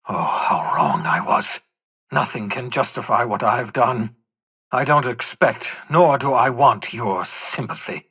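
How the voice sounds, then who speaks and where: Casting: elderly rogue scientist, game drama. Husky mid-range voice, self-loathing, theatrical, emphatic delivery. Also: male voice, mid-range voice, a bit bright. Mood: haunted, remorseful. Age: older. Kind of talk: dramatic video game voice acting.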